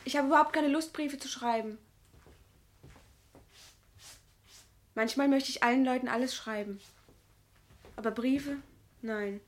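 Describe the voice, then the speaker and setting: A woman's voice: high voice, moderate pace, natural voice. A woman acting a curious, playful teenage schoolgirl and younger sister in a 1970s German radio play. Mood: reluctant, defensive.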